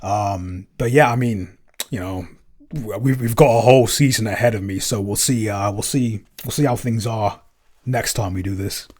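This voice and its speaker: A man with a fairly low voice answers questions as a podcast writer. He speaks casually and hesitantly, often stammering at the start of words and pausing to find the next one. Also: darkish tone. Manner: hesitant, halting, stammers over word starts. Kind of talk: casual Q&A chat.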